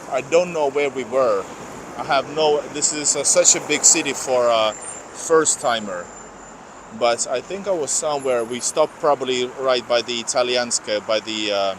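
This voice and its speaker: A man from Finland with a slight Finnish accent, livestreaming a walking tour and rambling to viewers in chat. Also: mid-range voice. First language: Finnish or Russian. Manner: rambling, self-correcting, reads out viewer comments. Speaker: vlogger. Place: outdoor city streets. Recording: noisy outdoor street with traffic.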